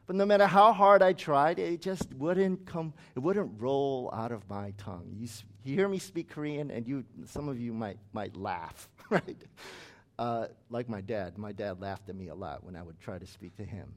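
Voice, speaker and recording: A man's voice: mid-range voice, normal speed. A man who grew up in the United States, preaching a sermon as pastor in a conversational way with chatty asides.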